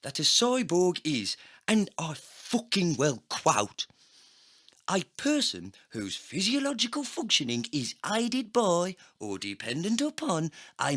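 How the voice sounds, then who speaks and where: A man's voice: fairly high pitch, sharp tone, pitched above the performer's own voice, heavily acted. A man voicing a foul-mouthed, ranting, hard-drinking cyborg agent, aggressive and emphatic, in a comedy sci-fi audio play. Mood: irate, ranting.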